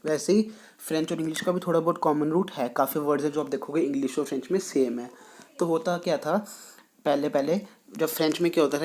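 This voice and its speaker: A man teaching a French lesson, patiently repeating each point and reading rules slowly. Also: a little high, a little mellow. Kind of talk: explaining a language lesson, mixing in English.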